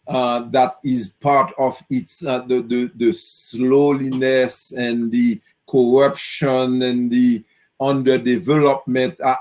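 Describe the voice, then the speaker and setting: Slow, halting man from Haiti. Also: medium pitch, a little mellow. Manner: slow and halting, many "uh" fillers. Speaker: audience member asking a question. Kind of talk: online Q&A question.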